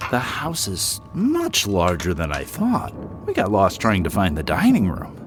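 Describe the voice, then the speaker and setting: An actor playing a curious young husband on holiday in a horror audio drama, with a casual, questioning manner. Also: male voice, sits low, huge pitch swings, a little slow, noisy background, exaggerated voice. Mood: curious, skeptical.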